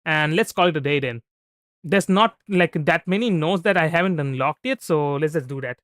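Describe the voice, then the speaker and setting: High-pitched, bright-voiced male streamer. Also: moderate pace, no echo, studio quality. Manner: rambling, repeats and restarts phrases often. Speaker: livestreamer. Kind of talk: casual gameplay livestream commentary. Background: soft background music.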